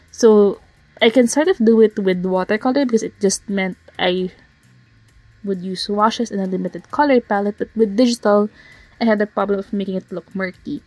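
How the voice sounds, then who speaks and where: A slightly hoarse woman casually narrating her digital painting process, rambling with fillers and self-corrections. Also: mid-range voice, a little mellow, no room sound, poor audio. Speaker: digital artist narrating a speedpaint. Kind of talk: casual voiceover commentary. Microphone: home voiceover mic.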